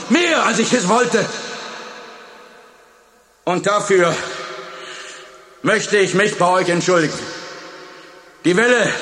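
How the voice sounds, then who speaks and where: An actor in a German radio play playing a stern, impassioned high school teacher who speaks in emphatic, deliberate phrases. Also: male voice, sharp tone, full character voice. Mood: remorseful, earnest. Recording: echoey hall with long reverb.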